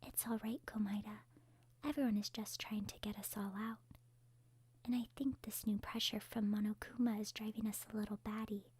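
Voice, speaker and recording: A woman plays a soft-spoken, sensible teenage girl for an ASMR roleplay. Her whisper is very breathy and husky, at a middling pitch, and stays even and gentle throughout. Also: brisk. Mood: gentle, reassuring. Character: calm, gentle, level-headed teenage girl.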